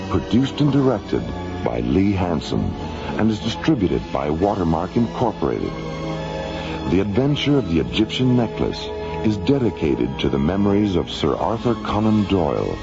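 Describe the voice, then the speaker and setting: Deep, gravelly male radio announcer. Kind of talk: scripted radio credits announcement. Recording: muffled radio broadcast, music behind.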